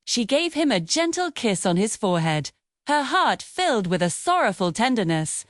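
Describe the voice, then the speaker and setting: A woman storyteller narrating a scripted fairy tale in a measured, dramatic way. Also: fairly high pitch, sing-song, dry recording, studio quality.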